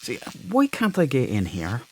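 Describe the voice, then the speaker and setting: A man's voice: mid-range voice, huge pitch swings, normal speed, a little hiss or hum, poor audio. A man giving casual playthrough commentary on a game, muttering to himself and mildly swearing as he goes. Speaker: let's player.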